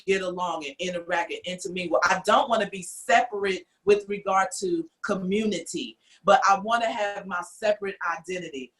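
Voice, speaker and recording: A woman's voice: medium pitch, normal speed, hardly any pauses. A woman from the United States hosting a podcast, giving her opinions in an earnest, emphatic way. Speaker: podcast host. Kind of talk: casual podcast discussion.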